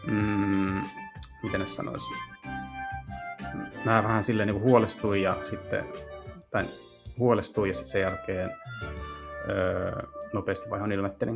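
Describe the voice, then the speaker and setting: A man's voice: on the low side, flat delivery, vocal fry, pauses often. A man hosting a gaming video, casually translating a visual novel aloud and searching for his words as he goes. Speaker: gaming channel host. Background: music.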